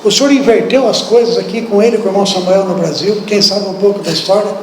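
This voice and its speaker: A man preaching a sermon with a Brazilian accent, lively and talking straight to the congregation, asking them questions. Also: high voice, fast talker, slight background noise. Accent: Brazilian Portuguese. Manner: animated, acts out biblical speech, asks the congregation questions. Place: church hall. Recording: echoey hall, distant room.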